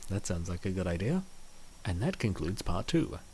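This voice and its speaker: Male comic-dub narrator, lowish pitch, animated delivery, some noise behind the voice. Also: moderate pace. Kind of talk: scripted story narration.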